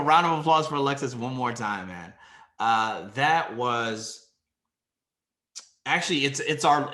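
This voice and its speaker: A man emceeing a live literary event, speaking in a bright, forward voice. His delivery is halting and full of ums, and it rings around an echoey room. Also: medium pitch.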